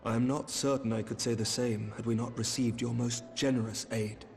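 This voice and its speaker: An actor playing a dignified, courteous knightly envoy in a fantasy video game, speaking formally and earnestly. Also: male voice, mid-range voice, steady tone, fairly quick, creaky, lightly acted. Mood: solemn, grateful. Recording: loud music behind the voice.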